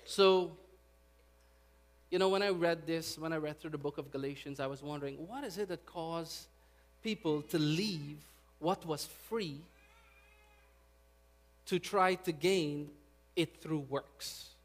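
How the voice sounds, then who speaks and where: Guyanese-accented preacher, a bit high-pitched. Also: male voice, takes it slowly, long pauses. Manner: rising to loud emphatic preaching, with prayerful soft passages. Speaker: pastor. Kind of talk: sermon.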